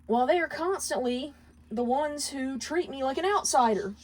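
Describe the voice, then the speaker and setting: A woman as an exasperated, high-voiced imp husband. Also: expressive, moderate pace, darkish tone. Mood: exasperated, defensive. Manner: exasperated, pleading, argumentative. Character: aggrieved male imp husband, at the end of his tether. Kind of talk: dramatic storybook character reading.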